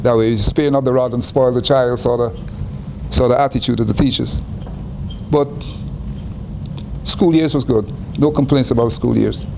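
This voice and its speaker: An older man from Guyana, telling his life story in an interview in an unhurried way, often repeating and restarting phrases. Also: medium pitch, steady tone, gravelly, darkish tone, a little hiss or hum, poor audio.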